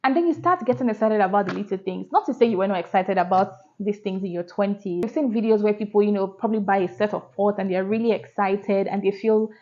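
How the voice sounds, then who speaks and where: A woman's voice: medium pitch, fast talker, hardly any pauses, darkish tone, muffled recording. A woman, talking candidly to her vlog audience and asking rhetorical questions. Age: 30s. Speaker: vlogger. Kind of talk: casual reflective talk to camera.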